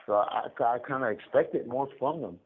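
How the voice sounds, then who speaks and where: A man's voice: normal speed, husky. A man telling his story in casual, rambling street talk with slang and cursing, as an inmate on a phone call. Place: jail or prison phone line. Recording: muffled low-fi collect phone call.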